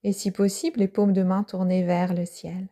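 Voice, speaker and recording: A woman yoga teacher guiding a yoga nidra relaxation, speaking softly with breathy, half-whispered phrases. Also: medium pitch, little pitch movement. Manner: slow, soft-spoken guidance with breathy, half-whispered phrases.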